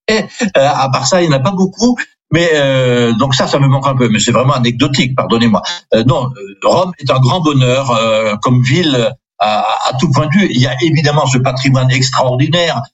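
An older man from Alsace, a priest, talks freely in a radio interview, stopping on fillers and apologizing with a small laugh. His voice is husky, and the sound is a little muffled and lo-fi. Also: mid-range voice, expressive, brisk. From Alsace, France. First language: Alsatian. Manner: chatty, hesitant with many fillers, self-deprecating.

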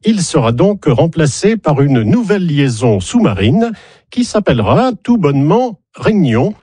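A man's voice: fairly high pitch, very animated, moderate pace, warm tone, breathy edge, no echo, clean studio sound. A man presenting a regional radio news bulletin in a scripted reading style, with wry, ironic asides.

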